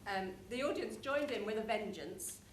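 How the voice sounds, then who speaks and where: A woman's voice: mid-range voice, animated, normal speed. A woman giving an informal, explanatory conference presentation about a show, speaking conversationally. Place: conference room, live presentation. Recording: echoey room with clear background noise.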